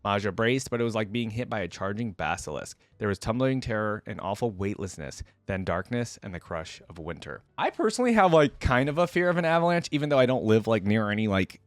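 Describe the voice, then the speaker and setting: A man with a fairly low voice, recorded very dry with little room around it. He talks like a casual reaction creator, chatting through his thoughts and reading story text aloud in the same easy register. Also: sing-song, fairly quick, few pauses, dry recording.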